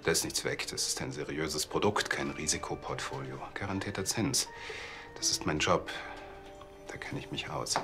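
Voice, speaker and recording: Formal, self-assured banker, very low register, screen crime comedy. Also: male voice, normal speed, over music. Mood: defensive, assured. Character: earnest, assured male banker and church choir treasurer.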